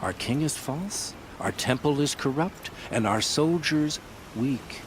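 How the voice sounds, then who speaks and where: An actor playing a bitter old priest in a video game, declaiming pompously like a zealot. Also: male voice, mid-range voice, expressive, slow pace, slight background noise. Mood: bitter, scornful. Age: older.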